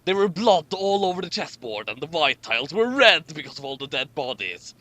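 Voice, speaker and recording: A man's voice: on the high side, very animated, fairly quick, pushed and bright. A man hosting a comic reading of fan fiction, with mocking commentary and stumbles over his lines.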